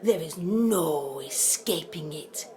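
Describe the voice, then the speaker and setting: A woman's voice: low voice, animated, slow, a little mellow, a little hiss or hum. A woman playing an ominous, mysterious prophesier of doom in an audio drama, solemn and incantatory. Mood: ominous, foreboding.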